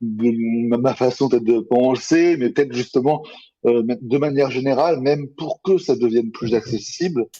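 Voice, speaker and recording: A man's voice: mid-range voice, moderate pace, dark tone, husky, dull audio. A man giving tentative, hedged opinions in a casual, unscripted discussion. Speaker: podcast debate participant, audio fiction creator.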